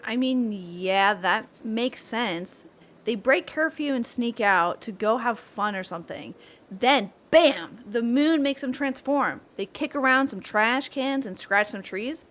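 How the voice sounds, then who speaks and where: A woman acts out a young wolf girl in a comic audio roleplay. Her voice sits high and leaps around in pitch. She is excitable, hungry and slangy, exclaiming as she goes. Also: slow pace. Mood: excited. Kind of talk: ASMR audio roleplay comedy acting.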